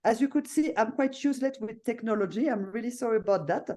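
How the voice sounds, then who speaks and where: A woman's voice: on the high side, fast, dark tone. A middle-aged woman with a French accent, presenting her property on a video call in a chatty way, often adding "no?" and "you know". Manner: chatty, frequent fillers and tag questions. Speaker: hotel owner presenting.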